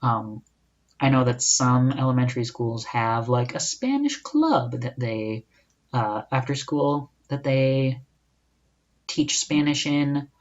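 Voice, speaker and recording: A man's voice: mid-range voice, animated, slow pace. Young man, casual podcast monologue. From United States. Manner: casual and chatty, with rhetorical asides and self-corrections. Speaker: podcast host. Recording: dull lo-fi recording.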